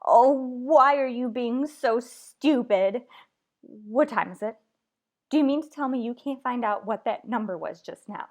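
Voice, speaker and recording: A woman's voice: fairly high pitch, a little slow, darkish tone. An actress playing a nervous, demanding invalid wife in a suspense radio play, flustered and insistent as she talks. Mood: indignant, insistent. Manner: fretful, insistent, flustered, stammering. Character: frantic, imperious, nervous invalid wife home alone. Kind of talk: old-time suspense radio play acting.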